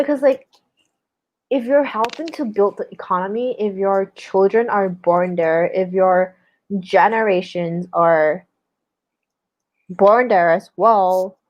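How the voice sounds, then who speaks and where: A young woman from Malaysia hosting a casual book discussion, thinking aloud with many restarts and hesitations. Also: lowish voice. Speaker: book club host. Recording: slightly dull lo-fi sound.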